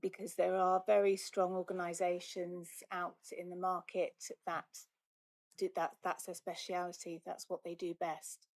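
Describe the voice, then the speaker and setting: A woman's voice: lowish voice, little pitch movement, moderate pace, a little mellow, dry recording. A woman, a council officer, answering briefly and to the point at a meeting.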